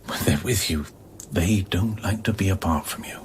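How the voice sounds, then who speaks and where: An actor playing a wry, probing, world-weary scientist in a radio play, speaking in a dry, measured, confiding way. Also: male voice, low voice, a little hiss or hum, natural voice.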